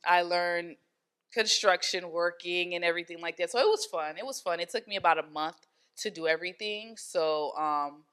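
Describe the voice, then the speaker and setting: A woman's voice: on the low side, moderate pace, a bit bright, smooth. A young adult woman from Haiti, a hair studio owner, talking casually in an interview with many fillers and restarts.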